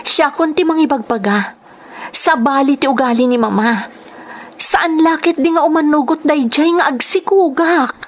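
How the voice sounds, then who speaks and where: Ilocano woman doing a fretful young girlfriend in an audio drama, high voice, pleading, easily exasperated. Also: moderate pace, close to an everyday voice. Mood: anxious pleading. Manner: worried, pleading.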